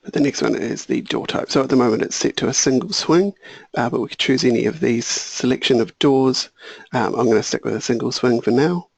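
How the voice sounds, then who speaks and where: A man walking through a software tutorial, thinking aloud and correcting himself, a little hoarse. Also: mid-range voice, fairly quick, no room sound. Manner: relaxed, thinking aloud, small self-corrections. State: slightly hoarse, clearing throat. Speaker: software trainer. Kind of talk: screen-share software tutorial.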